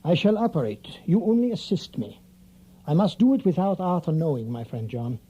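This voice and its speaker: An actor playing a grave older European professor and vampire hunter, formal, deliberate and secretive, in an audio drama. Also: male voice, on the high side, big pitch swings, warm tone, slightly rough, lo-fi sound. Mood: grimly insistent.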